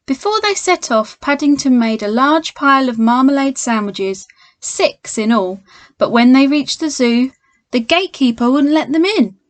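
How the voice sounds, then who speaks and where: A woman with a slightly high voice reads a storybook to young children. She is expressive and speaks straight to her listeners, like a primary school teacher. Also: moderate pace, no echo. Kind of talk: children's storybook read-aloud.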